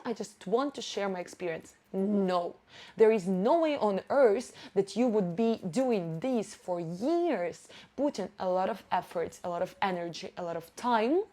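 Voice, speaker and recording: A woman's voice: mid-range voice, a little mellow. Young Ukrainian woman, Russian native speaker, casual vlogger; blunt, emphatic, the odd swear word, small grammar slips. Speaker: YouTuber and marketing agency owner. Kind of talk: casual talking-head vlog. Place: indoors, sitting before a camera.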